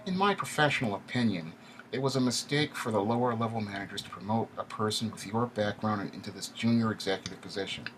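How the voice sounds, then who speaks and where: A man's voice: fairly low pitch, fast talker, fairly bright tone, a little hiss or hum, voice pushed up, close to an everyday voice, low-quality recording. A man voicing an arrogant corporate vice president with a twangy, nasal voice and a condescending, jargon-heavy way of talking. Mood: defensive, cold. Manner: arrogant, condescending, uses big words and jargon.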